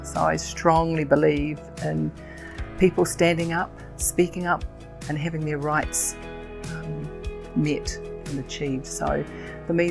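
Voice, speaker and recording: Older kiwi woman, earnest advocate, lots of ums, low creaky voice. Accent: New Zealand. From New Zealand. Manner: earnest and measured, with frequent fillers. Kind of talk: interview answers.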